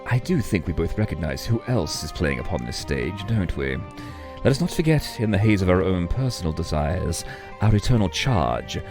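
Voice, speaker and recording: Grave eternal guardian, gravelly, expressive range, formal, solemn, audio drama, music underneath. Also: male voice, low voice, normal speed. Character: grave, measured immortal guardian bound to duty.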